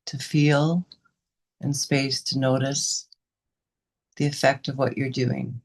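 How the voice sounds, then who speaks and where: Woman gently leading a guided meditation. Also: extremely low pitch, level tone, creaky. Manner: unhurried, pausing between short instructive phrases. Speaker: meditation and yoga teacher leading a guided session.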